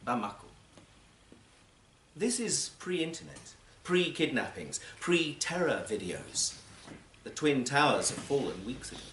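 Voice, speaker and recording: A man narrates literary fiction with measured, dramatic phrasing. His mid-pitched voice moves expressively up and down. It is a live recording in a very roomy space. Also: very animated, fairly bright tone, a little hiss or hum. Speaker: actor and audiobook reader. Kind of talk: literary story reading, scripted narration. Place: performance space in a library, live event.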